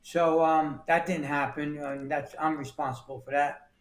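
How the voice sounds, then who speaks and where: A man's voice: a little high, flat delivery, moderate pace, reverberant. A man in his sixties from New York City, talking as a podcast guest in a plain-spoken way that repeats words and restarts. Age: 60s. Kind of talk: casual interview talk.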